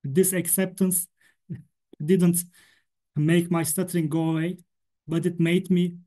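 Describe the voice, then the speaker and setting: A man's voice: high voice, level tone, a little slow, mellow. A man from Turkey with a Turkish accent, a native Turkish speaker, giving a prepared talk in English for a stuttering association while he stutters, repeating words and sounds. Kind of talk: prepared presentation.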